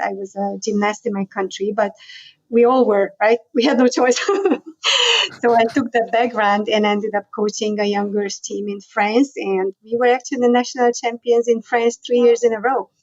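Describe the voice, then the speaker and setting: A woman's voice: mid-range voice, a little mellow, no echo. Middle-aged Hungarian-accented woman, chatty storyteller, dry and slightly dull line. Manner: storytelling, chatty, frequent "you know" and laughs. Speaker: guest. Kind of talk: conversational interview.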